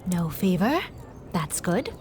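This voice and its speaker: A woman playing a gentle, patient healer in a fantasy audio drama, with polite, old-fashioned, formal diction. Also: on the low side, big pitch swings, slow pace, slight background noise. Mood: caring.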